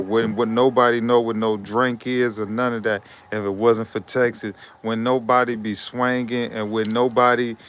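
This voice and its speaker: A young man hosts a casual live stream. He talks in a loose, repetitive way as he reads out viewer comments. His voice is smooth and clean, and it stays fairly flat and even in pitch. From Detroit, USA.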